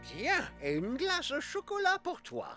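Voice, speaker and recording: A woman's voice: huge pitch swings, measured pace, full character voice. A woman voicing a sly old male kidnapper in a cartoon dub, who poses as a friendly ice-cream seller and speaks in a fake-kindly, wheedling tone. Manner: fake-kindly, wheedling, sudden swoops low. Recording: music behind.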